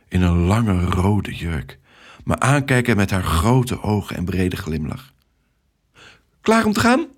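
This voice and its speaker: A man with a low, husky voice narrates a story for children in the first person. He is expressive and dramatic, dropping into hushed asides as he acts out the tale.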